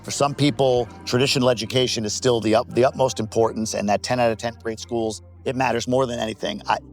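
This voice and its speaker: A man in his forties from the United States talks straight to camera in a relaxed, conversational way. He is candid and likes to drop in a joke, and his voice sits at a middle pitch. Faint music plays underneath. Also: quick pace. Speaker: vlogger. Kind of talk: casual to-camera talk.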